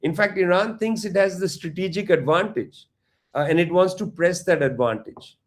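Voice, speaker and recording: High-voiced man giving emphatic analysis. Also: moderate pace. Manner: fluent, emphatic, frequent repeated starts. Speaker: political commentator. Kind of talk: analytical interview talk.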